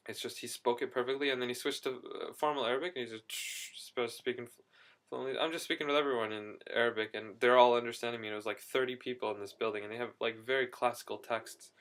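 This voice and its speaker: A young man in a casual video diary, talking excitedly and rambling with quick restarts. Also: medium pitch. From United States. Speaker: vlogger.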